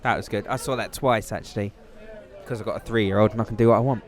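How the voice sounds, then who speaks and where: A man's voice: lowish voice, steady tone, fast. A man chatting casually as a podcast guest. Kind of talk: casual chat.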